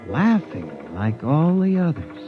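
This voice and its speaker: Anxious statistician, muffled old radio. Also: male voice, fairly high pitch, very animated. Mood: brooding, uneasy. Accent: American. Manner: intense, dramatic, measured storytelling that turns urgent. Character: anxious, earnest male statistician haunted by rebelling machines. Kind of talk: 1950s radio drama narration and acting. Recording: muffled 1950s radio, music behind.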